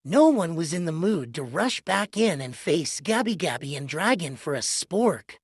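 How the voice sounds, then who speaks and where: A woman's voice: lowish voice, very animated, normal speed, husky, dry recording, clean studio sound. A woman narrating a children's read-along storybook in a scripted storytelling style. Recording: music behind the voice. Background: music.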